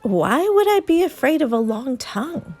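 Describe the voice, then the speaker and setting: A woman's voice: high voice, sing-song, moderate pace, a little mellow, soft background music, pitched above the performer's own voice. A woman voicing a picky, giggly child in a children's storybook, speaking in a questioning, insistent way. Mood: questioning, unimpressed. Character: picky, giggly child daring monsters to scare them.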